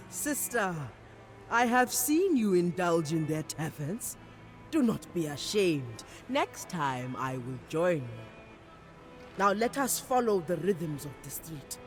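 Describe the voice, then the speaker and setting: Proud champion priestess: measured, commanding delivery, slow pace, game cutscene over loud music. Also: female voice, fairly low pitch, sing-song. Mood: confident, wry. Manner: measured, declamatory. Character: bold, commanding warrior priestess, proud champion of her people. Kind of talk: video game cutscene acting.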